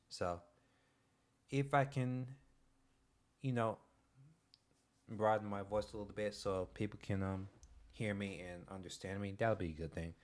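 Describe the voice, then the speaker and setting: A young man streaming, chatting casually in a soft-spoken, hesitant way with many pauses and restarts. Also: low-pitched. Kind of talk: casual livestream chat.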